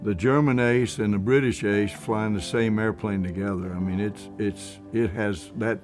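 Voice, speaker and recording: Low-voiced earnest man over soft music. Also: steady tone, normal speed. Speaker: warbird enthusiast interviewee. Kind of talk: unscripted interview talk.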